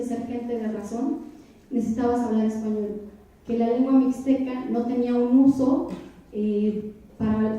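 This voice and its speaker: A woman's voice: on the high side, dark tone, distant mic. Young woman lecturing in an echoey hall. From Oaxaca, Mexico. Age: 20s. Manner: reflective, addresses the audience with questions; frequent hesitation fillers. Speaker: poet giving a keynote lecture. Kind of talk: lecture to a university audience.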